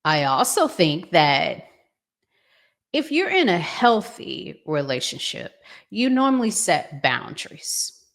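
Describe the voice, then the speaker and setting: A middle-aged woman giving casual, unscripted commentary as a vlog host, thinking aloud in a halting, conversational way. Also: lowish voice, sing-song, creaky, fairly bright tone.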